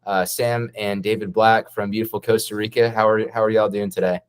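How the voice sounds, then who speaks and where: A man's voice: fairly low pitch, level tone, brisk, clear, resonant tone. A man hosting a casual interview, friendly, with frequent restarts and fillers. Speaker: host.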